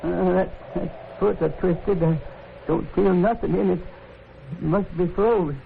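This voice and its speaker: An actor playing a cantankerous, suspicious old buffalo hunter with a rustic Western American accent in a radio western. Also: male voice, high-pitched, fairly even intonation, a little slow, gravelly, full character voice. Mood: weary, worried. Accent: rustic American West. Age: older. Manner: folksy, ungrammatical, ornery. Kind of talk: 1950s radio western acting. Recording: tinny, faintly noisy 1950s radio recording.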